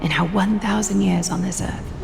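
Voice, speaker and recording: An actress playing a regal, solemn leader of heroes in a fantasy game, formal and restrained. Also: female voice, medium pitch, fairly even intonation, normal speed, vocal fry. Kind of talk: scripted game cutscene acting. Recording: long hall reverb under loud music.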